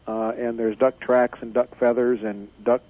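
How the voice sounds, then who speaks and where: A man speaks as a radio guest over a tinny phone line, confident and rambling, with plenty of fillers. His pitch sits in the middle range. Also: level tone, normal speed, no echo. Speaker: guest, newsletter editor. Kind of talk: radio interview.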